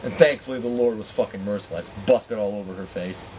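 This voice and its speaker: A man with a slightly low voice tells a personal story in a frank, foul-mouthed style. He acts out dialogue in an animated, exasperated manner. There is faint room noise behind him. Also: fairly quick, low-quality recording. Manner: animated acting-out of quoted dialogue, exasperated asides, heavy swearing. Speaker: storyteller and host. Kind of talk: candid, profane personal storytelling.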